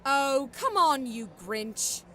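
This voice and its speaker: A woman plays a bossy, excitable witch heroine in a radio drama. Her voice is very high and animated, taken at an unhurried pace, with music playing underneath. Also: a little hiss or hum, heavily acted. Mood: brisk, upbeat. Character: bossy, excitable young witch leading a monster-hunting team. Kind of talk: audio drama acting.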